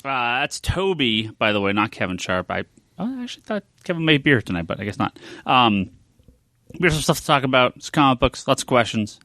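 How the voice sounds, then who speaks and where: A man's voice: medium pitch, sing-song, fairly quick, bright, forceful tone, no room sound, clean studio sound. A man hosting a podcast in a casual, joking, bantering way. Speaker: podcast host.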